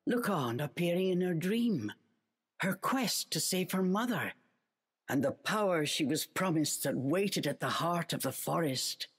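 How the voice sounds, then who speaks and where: A woman's voice: lowish voice, lively intonation, measured pace, slightly rough, heavily acted. A woman playing the storybook narrator of a video game, reading scripted fairy-tale narration. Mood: storytelling. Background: music.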